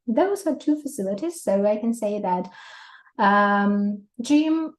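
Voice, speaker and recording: Mellow-voiced Russian-accented woman teacher. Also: medium pitch. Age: 30s. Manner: lively, laughs often, explains with examples. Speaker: English teacher. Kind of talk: exam prep lesson.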